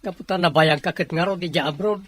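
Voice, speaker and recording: A woman's voice: on the low side, fast, a little hiss or hum, lightly acted. A woman playing an impatient, pleading young borrower in a Filipino radio drama. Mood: pushy, pleading. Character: pushy, indebted young person, impatient and pleading.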